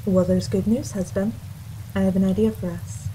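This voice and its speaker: A woman's voice: medium pitch, fairly even intonation, moderate pace, warm tone, close to an everyday voice. A woman playing a down-to-earth, sincere wife in an audio drama, understated and conversational. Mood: dry, casual. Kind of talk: naturalistic audio drama acting. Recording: roomy echo, faint background noise.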